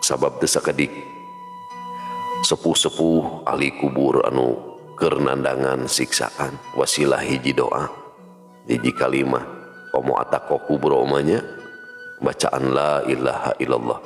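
A man's voice: very deep voice, little pitch movement, measured pace, gravelly, long pauses. A man in his forties with a Sundanese accent, giving a solemn, murmured devotional talk and prayer recitation close to the microphone. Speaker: storyteller leading a closing prayer. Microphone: studio condenser with pop filter, very close. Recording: long hall reverb, music behind. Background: music.

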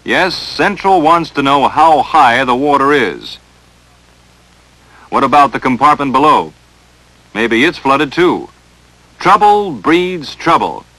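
A man's voice: medium pitch, sing-song, takes it slowly, long pauses, sharp tone, dry recording, low-quality recording. An American man narrating a 1940s instructional film in a stern, emphatic, dramatic coaching manner, on a vintage film soundtrack.

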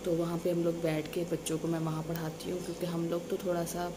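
A woman's voice: fairly low pitch, completely flat delivery, fast. Young woman talking to her phone cam at home, chatty, noisy room sound. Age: young adult. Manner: chatty, addresses viewers directly. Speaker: vlogger and Sunday school teacher. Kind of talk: casual vlog chat to camera. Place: small room at home, indoors. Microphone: phone camera at arm's length.

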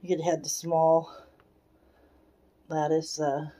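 A woman exploring as a vlogger, giving casual, unhurried walkthrough commentary and musing aloud into a handheld camera. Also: low-pitched, little pitch movement, mellow, slight background noise. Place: in and around derelict wooden buildings. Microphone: handheld camera or phone, operator behind it.

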